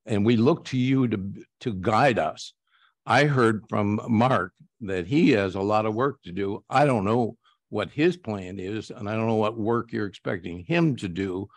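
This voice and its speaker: An American man speaking haltingly as a board director at a formal public meeting. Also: on the low side, expressive, no echo. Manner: halting, hesitant delivery.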